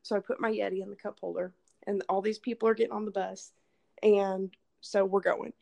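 A hoarse woman, casually telling a rambling, profane story as podcast host. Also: medium pitch, level tone, fairly quick, darkish tone. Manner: rambling, swearing, laughing storyteller. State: hoarse, losing her voice from illness.

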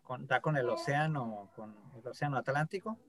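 Venezuelan man, podcast guest, relaxed but halting speech, frequent fillers. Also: mid-range voice. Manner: hesitant, many "este" fillers and repeats. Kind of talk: casual interview chat.

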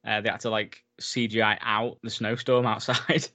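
A man's voice: fairly low pitch, flat delivery, moderate pace, bright, forceful tone. A man in a casual film recap chat, enthusiastic and talkative, laughing often as he goes. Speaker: podcast co-host.

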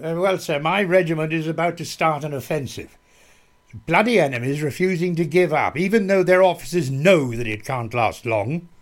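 A man's voice: on the high side, moderate pace, lightly acted. A man playing a ruthless, menacing army captain in a radio play, sneering and threatening. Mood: calculating. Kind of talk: radio drama acting.

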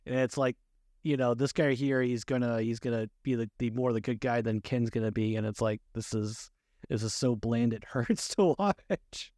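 A man co-hosting a casual movie chat, speaking haltingly, repeating words and often chuckling. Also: mid-range voice, darkish tone, no room sound, studio quality. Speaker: podcast co-host.

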